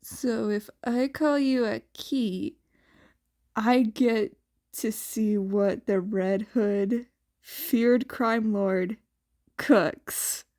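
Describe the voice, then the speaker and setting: A woman's voice: on the high side, extremely slow, a little mellow. A woman voicing a cheeky, nosy teenage boy who needles and wheedles in an audiobook story. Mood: teasing.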